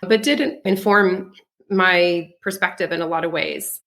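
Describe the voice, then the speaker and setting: Chatty American woman, slightly low voice. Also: a little slow, a bit bright. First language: French. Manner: chatty, laughs often while talking. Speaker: podcast guest, psychic. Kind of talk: casual conversational interview.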